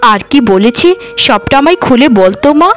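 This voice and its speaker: A woman's voice: high voice, animated, normal speed, fairly bright tone, slight background noise. A woman voicing a poor, patient village mother in a cartoon, earnest, caring and plaintive. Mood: worried, caring. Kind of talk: Bengali cartoon dubbing.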